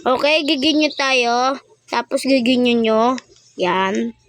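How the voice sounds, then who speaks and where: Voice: medium pitch, takes it slowly, a bit bright. A Filipino speaker doing casual game playthrough commentary, chatty and exclamatory, addressing viewers directly. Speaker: gaming vlogger.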